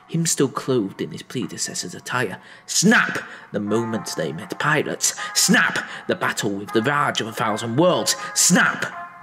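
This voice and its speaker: A man narrating a dramatic audio story in a theatrical, brooding manner, with heavy pauses. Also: fairly low pitch.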